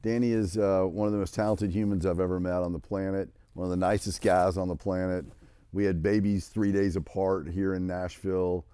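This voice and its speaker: A man's voice: sits low, level tone, a little fast, dark tone, smooth, dry recording. A man hosting a casual interview chat, speaking in an encouraging, affirming way. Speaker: show host.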